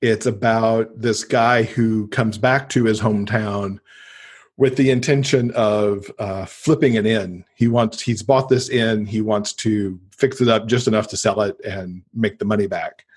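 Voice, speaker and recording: A man with an American accent and a fairly low, slightly husky voice talks as a podcast guest. He chats casually and enthusiastically, like a keen reader sharing favorites. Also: steady tone, measured pace. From United States. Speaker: podcast guest, book lover. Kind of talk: casual interview chat, recommending books.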